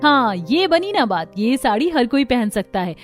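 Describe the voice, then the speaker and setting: A woman plays a young cartoon daughter-in-law in a fairly high voice. She is chatty, emphatic and sure of herself, with light music underneath. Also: sing-song, moderate pace. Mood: confident, trendy. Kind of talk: Hindi cartoon story acting.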